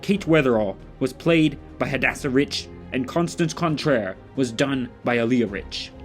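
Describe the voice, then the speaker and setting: A man's voice: mid-range voice, expressive, measured pace, faint music behind. A man announcing the credits of an audio drama in a scripted read.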